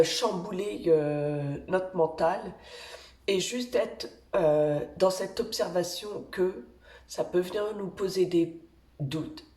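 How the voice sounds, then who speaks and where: A woman with a cold giving weekly oracle-card guidance as an energy healer, speaking gently and hesitantly with many pauses. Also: lowish voice, echoey room. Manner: gentle, reassuring, pauses often while drawing cards. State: has a cold. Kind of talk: slow, hesitant spoken guidance with many fillers.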